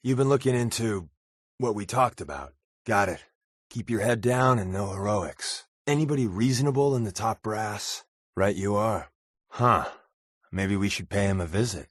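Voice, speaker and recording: A man's voice: lowish voice, normal speed, no room sound, clean studio sound, lightly acted. A man playing a wry, wisecracking undercover agent in a video game, with a casual, sardonic delivery. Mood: guarded, dry. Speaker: voice actor playing a game companion. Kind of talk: video game character dialogue.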